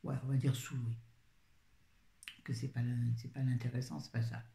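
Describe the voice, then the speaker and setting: A woman's voice: extremely low pitch, level tone, moderate pace, gravelly, dark tone. A woman chatting casually about books to her viewers, rambling and often interrupting herself. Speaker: book vlogger. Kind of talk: casual book review chat. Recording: roomy echo.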